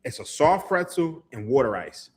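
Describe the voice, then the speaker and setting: A man's voice: medium pitch, sing-song, moderate pace, husky. A man, a podcast guest telling stories in casual chat, laughing and swearing freely. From Philadelphia, USA. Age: 30s. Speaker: podcast guest, sports writer.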